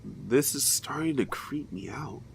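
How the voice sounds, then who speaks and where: A woman's voice: rumbling low, a little slow, vocal fry, slight background noise, full character voice. A woman playing a wisecracking, self-deprecating teenage student and secret vigilante in an audio drama. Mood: uneasy, hushed. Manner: wry, animated, self-deprecating.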